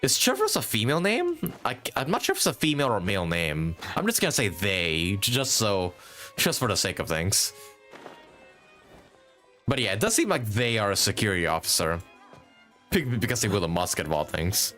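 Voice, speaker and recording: A male streamer chats casually over light game music. His voice is fairly low but swings widely in pitch as he thinks out loud. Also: huge pitch swings, normal speed. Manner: musing, thinking aloud. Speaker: game livestreamer. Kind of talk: casual live gaming commentary.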